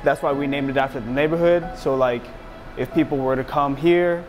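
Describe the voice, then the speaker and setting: A man's voice: mid-range voice, fairly even intonation, fairly quick, darkish tone, soft background music. A man in his thirties who owns a restaurant, chatting in a laid-back way full of slang and fillers, recorded in a small restaurant. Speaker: restaurant owner. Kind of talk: casual conversation. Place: small restaurant with a painted mural wall.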